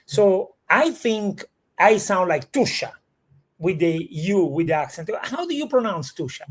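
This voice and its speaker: Male Italian-accented livestream host, high-pitched, conversational and halting, non-native speaker. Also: very animated. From Italy. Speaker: host of a real estate livestream. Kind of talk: chatty live show presenting.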